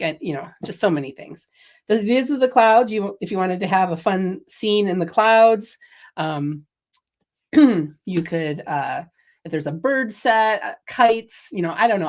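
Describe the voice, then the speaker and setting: A middle-aged woman hosting a casual craft product livestream, chatty and laughing often, a little sniffly from a cold. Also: fairly low pitch. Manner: chatty, laughs often, reads viewer comments. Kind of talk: casual product demo chat.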